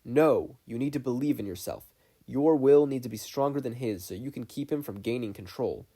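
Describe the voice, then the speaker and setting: Adult playing an intense, mellow older great-uncle. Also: mid-range voice, moderate pace, natural voice. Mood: urgent, firm. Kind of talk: fan fiction audiobook character voice.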